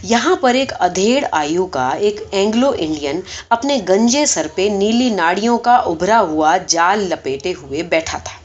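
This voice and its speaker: Woman, audiobook narrator, medium pitch, expressive scripted storytelling, dull sound. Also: hardly any pauses.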